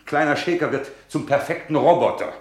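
A man's voice: fairly high pitch, fairly quick, roomy sound, close to an everyday voice. An actor playing a bitter, disillusioned middle-aged businessman husband in a German radio drama, with a sarcastic, theatrical way of talking. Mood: bitterly self-mocking. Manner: sarcastic, rhetorical, theatrical delivery.

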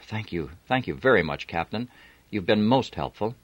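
A man reading a novel aloud, voicing a quick-witted woman who talks gently and coolly while playing a part. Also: lowish voice, expressive, no room sound, voice pushed up. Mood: gentle. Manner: gentle, soothing. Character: woman posing as sister, sly and calm.

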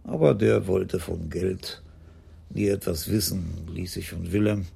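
An actor playing an elderly police commissioner in a radio play, courteous, dry and measured, with a gravelly, husky voice. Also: male voice, deep voice, mellow, heavily acted. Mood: reflective.

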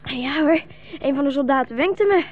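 A woman's voice: high voice, normal speed, dry recording, lightly acted. A woman playing a trusting, eager young boy who guides an old man, in 1960s radio drama style. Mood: eager, pleading. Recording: thin, phone-like 1960s radio recording.

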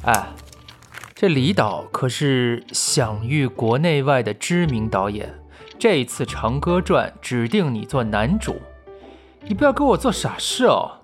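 A man's voice: medium pitch, sing-song, unhurried, soft background music. An actor playing an exasperated but protective male talent manager, nagging and coaxing in an audio drama. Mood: teasing, firm. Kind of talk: audio drama acting with background music.

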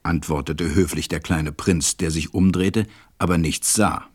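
A man narrating a fairy tale as a measured, reflective storyteller in a radio play. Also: deep voice, steady tone, darkish tone. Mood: calm, narrating.

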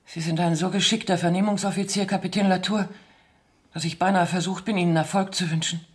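A woman's voice: fairly low pitch, steady tone, fairly quick, lightly acted. An actress playing a cool, seductive female spy under interrogation in a radio drama, poised, teasing and defiant. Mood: coolly confident. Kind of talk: German radio drama acting.

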